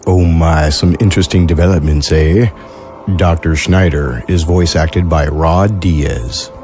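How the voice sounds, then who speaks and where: Slow, low-voiced male narrator over music. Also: darkish tone. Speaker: narrator reading show credits. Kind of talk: scripted narration.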